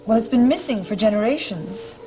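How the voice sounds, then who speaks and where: An actress playing a mild, helpful woman who keeps files, explaining gently and a little hesitantly in an old gothic TV soap. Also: fairly high pitch, expressive, brisk, natural voice. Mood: earnest, explaining. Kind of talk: 1960s-70s gothic TV soap acting. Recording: old TV soundtrack under loud music.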